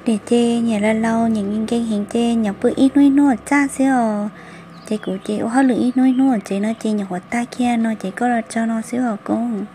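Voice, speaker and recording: An actress voicing a woman in an animated family drama, in an amateur cartoon style. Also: on the high side, brisk, slight background noise, close to an everyday voice. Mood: conversational.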